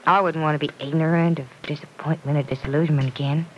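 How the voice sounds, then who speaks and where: A woman's voice: sits low, steady tone, fast talker, natural voice. An actress playing a thoughtful young woman friend in a 1940s radio drama, earnest and reflective. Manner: earnest, reflective, light laughs. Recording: dull old radio transcription with faint hiss.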